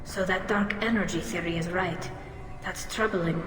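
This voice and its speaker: A woman voicing a young female alien in a video game, earnest and measured, anxious yet resolute. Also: lowish voice, steady tone, fairly bright tone, soft background music, natural voice. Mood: concerned, grateful. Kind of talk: video game dialogue acting. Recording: echoey hall, faint ambient noise.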